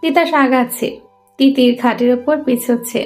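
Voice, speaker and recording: High-pitched woman narrating a story. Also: moderate pace, a little mellow, soft background music.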